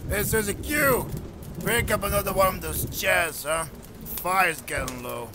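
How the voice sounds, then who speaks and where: A man voicing a scruffy, cranky old drifter with rough, folksy speech in a comic dub. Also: high-pitched, measured pace, noisy background. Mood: gruff, dismissive.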